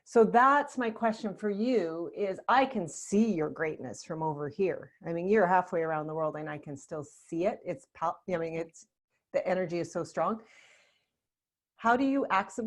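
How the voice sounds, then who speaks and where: A woman's voice: fairly low pitch, moderate pace. A woman life coach hosting an interview, speaking in an affirming, enthusiastic way. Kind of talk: interview conversation.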